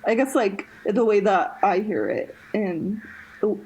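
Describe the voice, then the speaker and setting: Young woman co-host, husky tone, mid pitch, casual and halting. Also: a little mellow, poor audio. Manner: halting, frequent restarts and fillers, laughs between phrases. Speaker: podcast co-host. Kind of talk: casual chat.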